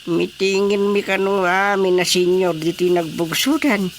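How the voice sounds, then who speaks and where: A man's voice: high-pitched, normal speed, slight background noise. An actor with an Ilocano accent playing a chatty, teasing elderly village chairman in a radio drama comedy. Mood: informative.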